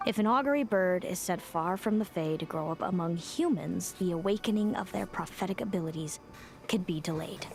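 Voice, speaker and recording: A woman acting a young female seer from a video game, worn down and resentful of fate. Her voice sits on the low side, measured and cold, with a world-weary weight. Soft music plays behind her. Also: natural voice. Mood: solemn explaining.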